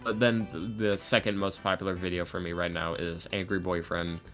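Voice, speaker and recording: A man's voice: deep voice, level tone, moderate pace, a bit bright, soft background music. A man chatting casually on a podcast as a creator of audio roleplay videos, talking in a self-deprecating way about his own work.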